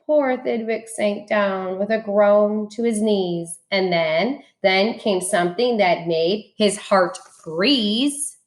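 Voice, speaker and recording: A woman reads a rhyming picture book to children at a slow pace. Her delivery is expressive and dramatic, with each line placed deliberately in a mid-pitched voice. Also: echoey room. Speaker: teacher reading a picture book aloud. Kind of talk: expressive children's storybook read-aloud.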